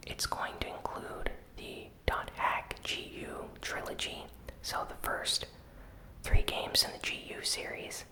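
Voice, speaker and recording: A host giving ASMR gaming news commentary in a breathy, unvoiced whisper, soft-spoken and unhurried. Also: rough recording.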